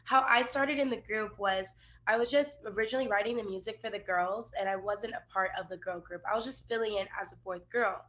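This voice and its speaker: A woman's voice: medium pitch, a little fast, a bit bright. A young woman singer chatting casually in an interview, with lots of "like". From USA, Miami and Los Angeles.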